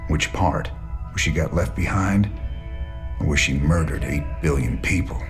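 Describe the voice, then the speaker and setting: An actor playing a brooding, world-weary rebel commander in a game cutscene, terse and jaded with a drawl. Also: male voice, a bass voice, a little slow, faint music behind. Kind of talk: video game cutscene acting.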